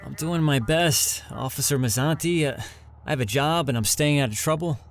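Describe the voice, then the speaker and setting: Meek young petty ex-con male actor: slightly high voice, anxious, stammering, deferential, audio drama. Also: normal speed, natural voice. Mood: nervous, evasive.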